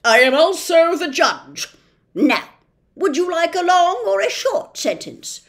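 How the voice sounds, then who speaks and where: A woman voicing a fierce, pompous little male policeman from a storybook, blustering and accusing in loud, emphatic tones. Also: extremely high pitch, sing-song, slow pace, voice pushed up, full character voice. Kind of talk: storybook character voice.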